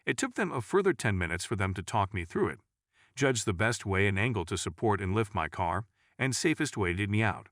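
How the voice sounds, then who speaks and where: A man's voice: lowish voice, big pitch swings, fairly quick, fairly bright tone, no room sound, studio quality. A man reading a scripted first-person story as a storyteller narrator.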